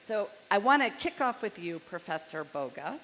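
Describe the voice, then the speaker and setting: A woman moderating a conference panel, introducing guests from a script and adding chatty jokes in a loud, carrying voice. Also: medium pitch, very animated, normal speed. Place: large conference hall. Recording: hall reverb, faint room noise.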